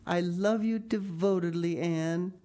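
A woman's voice: extremely high pitch, lively intonation, slow, gravelly, mellow, a little hiss or hum, voice pushed up, exaggerated voice. A woman voicing a sad, devoted young girl in a storybook, a loyal best friend who speaks plainly and earnestly. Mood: staunch. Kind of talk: audiobook character reading.

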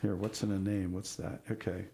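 A man's voice: sits low, level tone, moderate pace, warm tone, a little hiss or hum. Man reading corny jokes, voice going. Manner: jokey, laughs at his own puns. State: losing his voice, clearing throat. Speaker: show host.

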